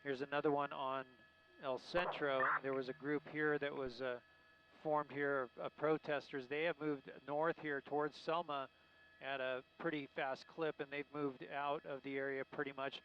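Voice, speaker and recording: Halting newsman on a muffled live feed. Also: fairly high pitch, little pitch movement. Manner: halting, searching delivery. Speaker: helicopter news reporter. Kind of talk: live unscripted aerial news commentary. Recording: muffled lo-fi live broadcast with faint noise.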